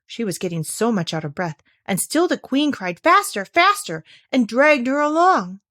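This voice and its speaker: A woman narrating a classic children's story aloud in an expressive, scripted storytelling style. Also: a little high, normal speed, no room sound, clean studio sound. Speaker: audiobook reader.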